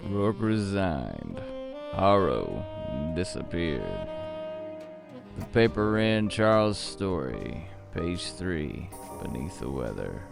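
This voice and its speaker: An actor playing a world-weary, cynical private detective in a noir audio drama, with a gravelly, brooding, hardboiled delivery. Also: male voice, sits low, very animated, slow, exaggerated voice. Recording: music under the voice.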